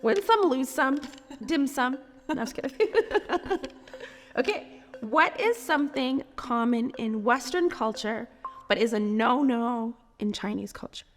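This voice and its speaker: A woman's voice: high voice, a little slow. Bubbly, laughing woman host. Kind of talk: casual chat quiz. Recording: reverberant room with music underneath.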